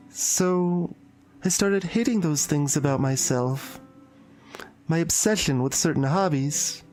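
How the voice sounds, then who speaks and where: A woman's voice: lowish voice, a little mellow, natural voice. Woman acting as a shy, anxious, intense schoolgirl, music behind. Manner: hesitant, halting confiding. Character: shy, anxious, intense high school girl, unsettlingly obsessive. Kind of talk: dramatic visual novel voice acting. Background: background music.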